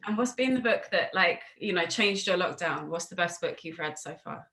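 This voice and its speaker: A woman's voice: on the low side, animated, moderate pace, bright, forceful tone. A woman hosting an interview in a casual, chatty style. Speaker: interviewer, host. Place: remote video call.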